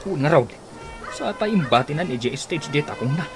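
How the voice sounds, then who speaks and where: An actor playing a grumbling, skeptical husband in an Ilocano radio comedy, full of grunts and drawn-out interjections. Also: male voice, fairly high pitch, expressive, over music. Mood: amused.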